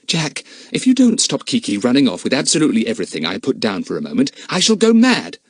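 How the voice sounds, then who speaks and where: A male narrator voicing a firm, brisk, motherly aunt managing a household of children. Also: medium pitch, huge pitch swings, husky, no echo, clean studio sound, voice pushed up.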